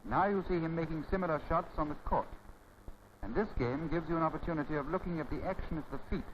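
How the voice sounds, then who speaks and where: A man narrating a 1930s instructional film from a script, formal and didactic, with measured phrasing. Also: fairly high pitch. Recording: scratchy, muffled 1930s film soundtrack.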